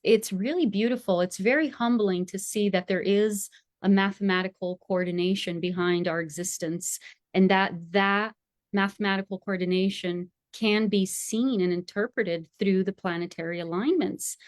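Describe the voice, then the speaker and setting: Woman astrologer, enthusiastic and animated, medium pitch, dry close recording. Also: normal speed, no room sound. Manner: enthusiastic, animated, frequent laughter. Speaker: astrologer and school founder. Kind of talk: conversational interview.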